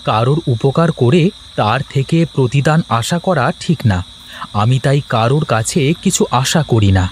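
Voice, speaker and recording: A man's voice: medium pitch, animated, normal speed, a little hiss or hum, close to an everyday voice. A man voicing an earnest, kind-hearted poor village husband in a cartoon, speaking expressively and cajolingly. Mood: earnest, coaxing. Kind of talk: Bengali moral-story cartoon dubbing.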